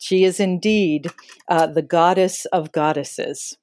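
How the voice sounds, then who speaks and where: A woman's voice: fairly low pitch, fairly even intonation, slow, darkish tone. A woman co-hosting a podcast, moving between recitation and conversation. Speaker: podcast co-host.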